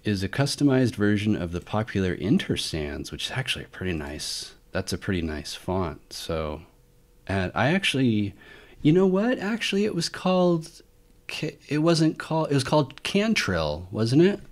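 Low-voiced man thinking aloud. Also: sing-song, moderate pace. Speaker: tech video host. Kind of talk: casual unscripted screen-share commentary.

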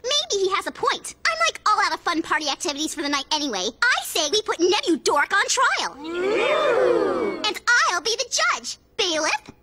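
A woman voicing a bossy, sassy schoolgirl ringleader in an animated cartoon, mocking, with valley-girl slang. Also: very high-pitched, moderate pace, background noise. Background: cartoon score music.

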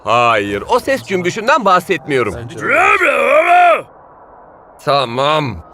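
An actor voicing a laid-back cartoon footballer who loves music and hypes it up in a chummy, showy way. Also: male voice, a little high, wildly swooping pitch, a little slow, bright, forceful tone, a little hiss or hum, exaggerated voice. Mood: persuasive. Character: laid-back, cool music-loving male footballer, enthusiastic buddy. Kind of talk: animated cartoon dub acting.

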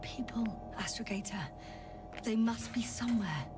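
A woman playing a determined, curious scientist exploring an alien planet in a video game, with measured, murmuring delivery. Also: fairly high pitch, recorded from a distance, music in the background, natural voice. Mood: resolute. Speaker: doctor on a space crew. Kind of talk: naturalistic video game acting.

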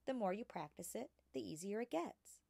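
A woman voicing an eager, curious, precocious little girl in a children's storybook, full of excited questions. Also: medium pitch, normal speed, vocal fry, no room sound. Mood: delighted. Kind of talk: children's storybook character reading.

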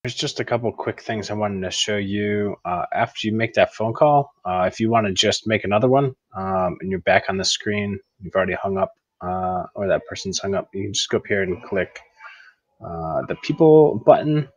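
Guy doing a casual tutorial on an earbud mic, low voice, kinda halting and informal. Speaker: tutorial presenter, educator. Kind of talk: casual screen-recording tutorial. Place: room at home, indoors. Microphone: earbud headset microphone.

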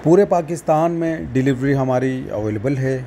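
Mellow-voiced Pakistani man pitching stock. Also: normal speed, slight background noise. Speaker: wholesale market seller and channel host. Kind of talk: sales pitch narration over product demonstration.

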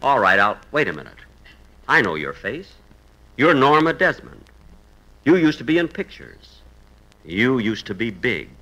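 A man's voice: medium pitch, very animated, measured pace, pauses often, sharp tone, heavily acted, rough recording. A man playing an eager, struggling Hollywood writer in an earnest comic manner for 1950s radio comedy. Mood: startled.

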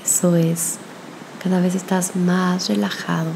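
A woman leading a guided spiritual meditation in a gentle, soothing manner with long pauses. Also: on the low side, level tone, creaky, noisy background.

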